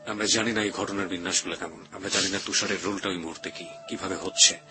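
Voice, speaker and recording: A man with a Bangladeshi Bengali accent hosts a radio interview in a grave, deliberate, measured manner. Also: sits low, fairly even intonation, sharp tone. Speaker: radio show host. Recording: music under the voice. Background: background music.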